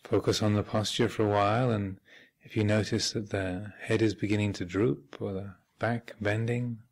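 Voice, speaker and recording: Low-voiced man guiding a meditation. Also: steady tone, vocal fry. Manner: measured, hesitant pauses, gentle instructional phrasing. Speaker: Buddhist monk and meditation teacher leading a retreat. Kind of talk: guided meditation instruction. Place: meditation hall at a retreat center.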